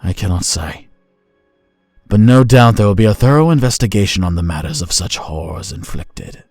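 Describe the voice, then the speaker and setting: Deep, grave fantasy investigator played by a man. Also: animated, normal speed, darkish tone, no echo, clean studio sound, soft background music. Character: grave, formal male fantasy investigator reporting. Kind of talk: fantasy audio drama acting.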